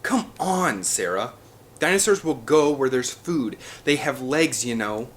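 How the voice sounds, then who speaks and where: A man's voice: medium pitch, expressive, measured pace, a little hiss or hum, voice pushed up, lightly acted. Man acting an exasperated, protective father, urgent. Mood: exasperated pleading. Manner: urgent, forceful pleading. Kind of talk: audiobook character dialogue.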